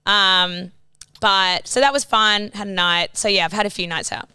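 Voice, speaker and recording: A woman's voice: mid-range voice, steady tone, moderate pace, sharp tone, smooth, dry recording, clean studio sound. A young Australian woman co-hosting a podcast, chatting casually with lots of "like" and giggles. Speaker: podcast co-host. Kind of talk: casual chat.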